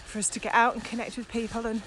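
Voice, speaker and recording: A woman's voice: a little high, flat delivery, quick pace, fairly bright tone, poor audio. A woman co-hosting a casual podcast chat, speaking with enthusiasm and emphasis. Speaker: podcast co-host, virtual trainer. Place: outdoors, walking in the countryside. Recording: outdoor walking recording with ambient noise.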